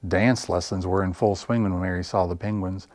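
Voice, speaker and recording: A man reading picture books aloud for children in an expressive, deliberate storytelling style. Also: low-pitched, no room sound. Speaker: library story time reader. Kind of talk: animated picture-book narration for children.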